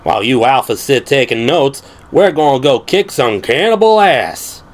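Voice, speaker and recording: A man's voice: mid-range voice, big pitch swings, normal speed, pitched above the performer's own voice. A man voicing a boyish, cocky Southern pilot with an Alabama twang, drawling eagerly in an audio drama. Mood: excited, cocky.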